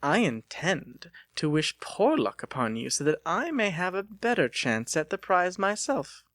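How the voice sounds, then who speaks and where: Man putting on a playful young lady, lifted pitch. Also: big pitch swings, measured pace, a bit bright, no echo, studio quality, full character voice. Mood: playfully teasing. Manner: playful, prim. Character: playful, dainty young lady with teasing confidence. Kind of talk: dramatic audiobook character reading.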